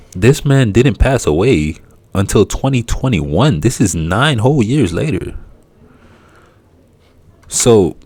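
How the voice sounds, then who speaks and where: A man's voice: fairly low pitch, darkish tone, slightly rough. A man whose first language is Haitian Creole, giving casual, profane, rambling commentary. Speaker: vlogger.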